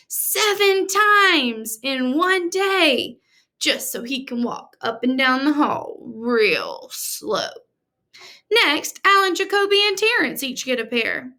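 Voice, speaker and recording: A woman teacher reading a storybook aloud to children in an expressive, deliberate, explanatory manner. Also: high-pitched.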